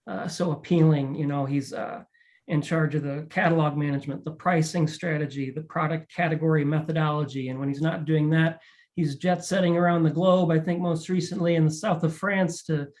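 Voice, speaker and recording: A man's voice: fairly high pitch, darkish tone. A man introducing guests on a panel show, effusive and full of praise. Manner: hyping, effusive. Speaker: company chief executive introducing guests.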